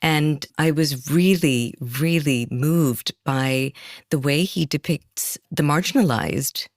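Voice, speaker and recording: A woman hosting an interview, speaking in a measured, deliberate, conversational way. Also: sits low, no room sound, clean studio sound. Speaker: podcast host, interviewer.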